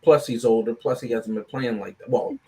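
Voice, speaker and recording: A man with a mid-pitched voice takes part in a casual film review panel. He talks in a discursive, analytical way, building his point and often checking in with a short "right?". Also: a little fast, a little mellow.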